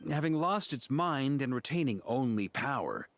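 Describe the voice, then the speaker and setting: An actor playing a dutiful knight in a video game, formal, composed and grave in manner. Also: male voice, mid-range voice, animated, a bit bright. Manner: formal, measured.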